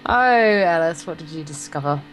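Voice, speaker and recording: A woman's voice: low-pitched, lively intonation, normal speed, soft background music, poor audio. A woman reading a visual novel aloud for a gameplay video, in a playful, theatrical way with crude joking asides.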